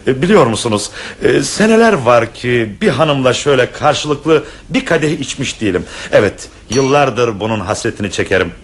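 A man's voice: mid-range voice, very animated, normal speed, few pauses, breathy edge. An actor playing a shy, formal widowed professor and father in a vintage radio play, speaking in courtly, old-fashioned phrases. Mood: bashful.

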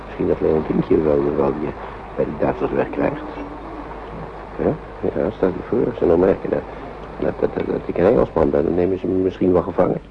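A man's voice: deep voice, brisk, creaky. A man playing a worried, kindly English uncle shepherding young children in a radio drama, hesitant and repeating himself as he fusses. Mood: gently urging. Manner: hesitant, repetitive, fussing gently over children. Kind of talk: naturalistic radio drama acting. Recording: dull, noisy old radio play recording.

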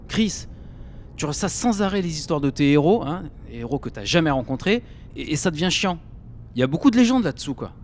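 An actor playing a cynical, restless young conscript in an audio drama, slangy and scornful. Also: male voice, fairly high pitch, sing-song, fairly quick, slight background noise. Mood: irritated.

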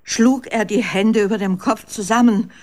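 A woman narrating a children's story in a dramatic, expressive scripted style. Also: medium pitch, moderate pace, a little hiss or hum.

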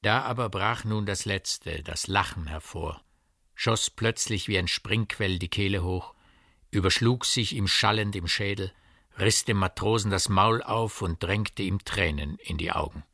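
A man narrating a literary radio drama in a precise, measured and dramatic storytelling manner. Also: low voice, creaky, pushed and bright, no room sound, studio quality.

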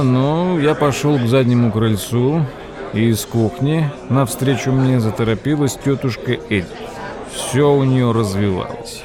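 An actor playing a thoughtful, polite nephew who narrates an audio drama in a measured, wry way. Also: male voice, medium pitch, close to an everyday voice. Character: thoughtful, polite nephew recounting an odd tale. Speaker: narrator and lead character.

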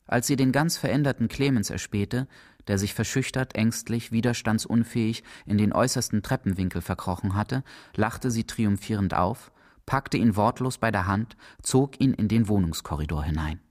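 A man's voice: fairly low pitch, normal speed, darkish tone, dry recording, studio quality. A man narrating a radio drama in a literary, dramatic style.

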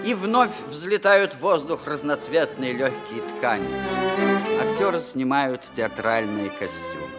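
A man narrating a radio play in a scripted, theatrical storytelling style with deliberate pauses. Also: medium pitch, very animated. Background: music with violins.

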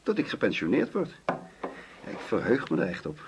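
An actor playing a genial but weary husband of about sixty in a radio drama, chatty and easygoing. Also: male voice, lowish voice, wildly swooping pitch, brisk. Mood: relaxed, looking forward. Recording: noisy radio play recording with clicks.

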